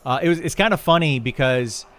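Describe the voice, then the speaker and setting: A man's voice: fairly high pitch, expressive, brisk, a bit bright. A middle-aged man, a video game streamer, talking casually and a bit ramblingly as he answers viewers on a livestream. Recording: clear background noise and ambience.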